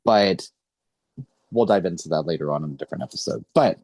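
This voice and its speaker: A young man with a low-pitched voice, recorded close and dry, hosts a conversational podcast. He speaks earnestly, placing deliberate emphasis on his phrases like an interviewer with a point to make. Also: a little mellow, dry recording.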